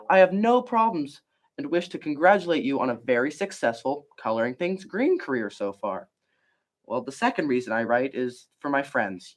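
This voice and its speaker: A man voicing a cheerful, content crayon from a children's picture book, polite and upbeat in a formal way. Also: on the high side.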